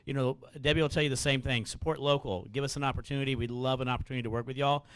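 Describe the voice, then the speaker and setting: Fast-talking male lender. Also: medium pitch, steady tone, a bit bright, clear, resonant tone, dry recording. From Mexico. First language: Spanish. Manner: fast, chatty, joking, enumerates points. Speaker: mortgage lender. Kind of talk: quick sales pitch to a meeting.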